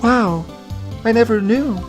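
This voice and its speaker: A woman's voice: on the high side, slow pace, over music, voice pushed up. A woman voicing a curious, innocent teenage girl sidekick, full of naive questions, in video game dialogue. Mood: puzzled, amazed. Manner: questioning, naive, wide-eyed. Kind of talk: voiced visual novel game dialogue.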